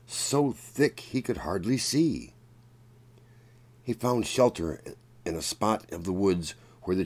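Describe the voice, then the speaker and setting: Male children's story narrator, unhurried and deliberate, with lively swings in pitch. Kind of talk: read-aloud storybook narration.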